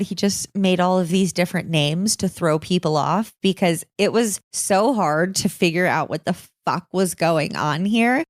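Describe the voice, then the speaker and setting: Lowish-voiced, sweary woman podcast host. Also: dry recording, studio quality. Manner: sweary, informal. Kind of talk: casual storytelling chat.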